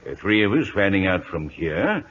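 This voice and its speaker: An actor playing a cautious naval captain in a 1950s radio drama, hesitant and diplomatic. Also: male voice, low voice, big pitch swings, moderate pace. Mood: tactful. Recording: dull, noisy old radio recording.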